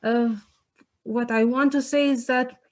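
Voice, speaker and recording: High, flat-toned young Kosovan woman. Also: a little slow. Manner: earnest, searching for words. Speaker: playwright on a panel. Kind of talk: unscripted panel answer. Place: online webinar.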